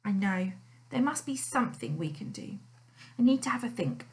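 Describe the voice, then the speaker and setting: A woman voicing a gentle, hesitant husband who speaks in a soothing, halting way in a storybook. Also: on the high side, animated, close to an everyday voice.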